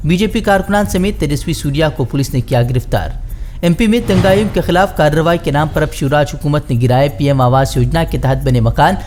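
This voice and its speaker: A man's voice: on the high side, brisk, darkish tone, slight background noise. A man reading scripted speed news headlines as a news presenter. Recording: background music under the voice. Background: news background music.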